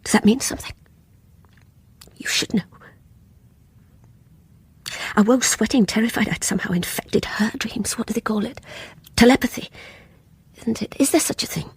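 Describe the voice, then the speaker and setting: An actress playing an anxious, guilt-ridden younger British woman in a radio play, confiding in a hushed, hesitant, breathy voice. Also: fairly high pitch, brisk, whispered. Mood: haunted, hushed. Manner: tense, hesitant, confessional. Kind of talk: radio play acting with intimate first-person narration.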